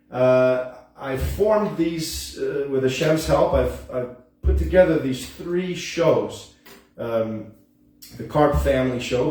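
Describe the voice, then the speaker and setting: A man's voice: mid-range voice, a little mellow. Rambling man in his fifties, echoey room. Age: 50s. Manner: earnest, halting, with many ums and restarts. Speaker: host of a home music show. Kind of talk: rambling casual monologue. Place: room at home, at a piano. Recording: echoey room, mic at a distance.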